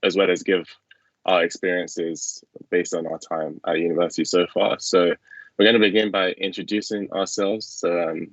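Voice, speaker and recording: A man's voice: normal speed, no echo. A young man hosting a casual student Q&A over a video call from a small room at home. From England. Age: young adult. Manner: relaxed, low-key, fillers. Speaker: student host. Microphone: laptop webcam mic on video call. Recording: dull lo-fi video call audio.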